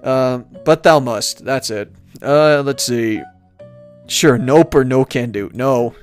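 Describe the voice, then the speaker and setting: A man giving casual video game commentary, thinking aloud in a rambling, halting way as he plays and reads from the screen. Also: medium pitch, faint music behind. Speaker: let's-play host.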